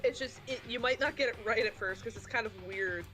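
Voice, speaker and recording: A woman's voice: on the high side, brisk, sharp tone, soft background music. A woman co-hosting a game playthrough, explaining and guiding in a casual, conversational style. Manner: explanatory, coaching. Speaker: let's-play co-host guiding the player. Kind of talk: casual chat, game commentary.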